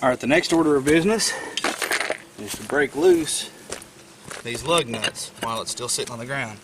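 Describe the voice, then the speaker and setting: A man's voice: medium pitch, moderate pace, noisy background. Slightly congested man explaining car repair. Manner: plainspoken, explains steps patiently with frequent "uh" fillers. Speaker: DIY car repair presenter. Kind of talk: casual how-to walkthrough.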